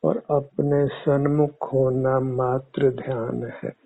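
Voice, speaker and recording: A man teaching guided meditation in measured, formal, instructive words. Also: medium pitch, flat delivery, creaky. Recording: muffled phone-like narrow-band audio.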